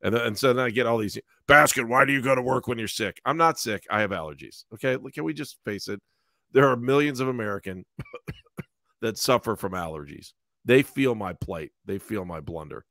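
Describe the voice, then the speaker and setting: A man's voice: medium pitch, fairly quick, vocal fry, pushed and bright, dry recording, studio quality. Teasing middle-aged man on a podcast. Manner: teasing, sarcastic, joking. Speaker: podcast co-host. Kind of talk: casual sports podcast banter. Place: small home room. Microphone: headset and desk microphone on video call.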